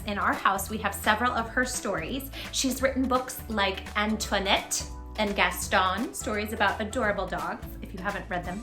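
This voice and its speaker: A woman hosting a children's read-aloud, speaking in an animated, emphatic way straight to small children. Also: medium pitch. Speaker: children's storytime host and tutor. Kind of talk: children's read-aloud and chat to young viewers.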